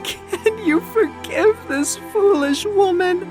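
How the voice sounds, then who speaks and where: A performer voicing a melodramatic woman who sobs and pleads in drawn-out phrases, in an audio drama. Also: very high-pitched, over music, pitched above the performer's own voice. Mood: tearful, pleading. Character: weeping, gushing, theatrical mother, newly transformed woman.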